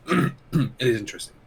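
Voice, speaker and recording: A man co-hosting a casual keyboard podcast, losing his voice and talking in halting bits full of fillers. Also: mid-range voice, vocal fry, a little hiss or hum. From United Kingdom. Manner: halting, many fillers and restarts. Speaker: podcast co-host. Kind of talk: casual chat about keyboards.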